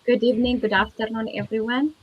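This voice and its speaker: A woman from Ethiopia speaks as a guest on a live video call. She tells her story in a clear, composed way and repeats key points for emphasis. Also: fairly high pitch, level tone, fast, dull audio. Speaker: guest business owner. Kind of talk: live online testimony.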